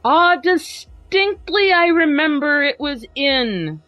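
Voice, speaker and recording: A woman's voice: sits high, animated, slow pace, sharp tone. A woman hosting a podcast in an upbeat, chatty way, laughing easily and also reading a poem aloud with drama. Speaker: podcast host.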